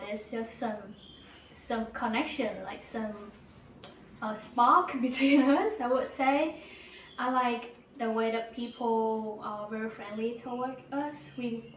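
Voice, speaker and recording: A young Vietnamese woman talks slowly and hesitantly, her voice sitting a little high. She sounds set back from the mic in an echoey room with faint ambience. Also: far from the mic, slight background noise. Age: young adult. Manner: hesitant with many ums. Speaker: interviewee. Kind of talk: casual personal interview.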